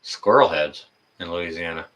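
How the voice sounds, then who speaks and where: Male, 50s, deep but forward and bright voice; folksy, rambling, unscripted livestream chatter with a halting flow. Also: dull audio. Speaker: livestream host. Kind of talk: casual livestream chat.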